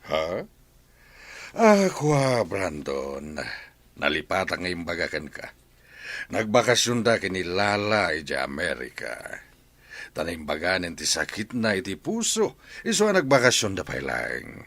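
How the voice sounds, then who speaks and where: A man's voice: sits low, huge pitch swings, unhurried, fairly bright tone, no room sound, exaggerated voice. An actor playing an evasive, blustering middle-aged father in a radio drama, with a hedging delivery.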